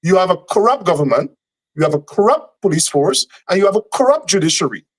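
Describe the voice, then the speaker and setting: A man giving forceful, indignant commentary as a guest on a call-in show. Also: high-pitched, big pitch swings, quick pace.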